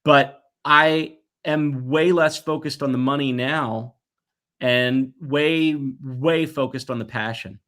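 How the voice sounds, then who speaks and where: A man in his forties giving conversational interview answers in an earnest, reflective way, pausing to stress his points. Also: medium pitch, steady tone, fairly bright tone. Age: 40s. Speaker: guest, toy company executive.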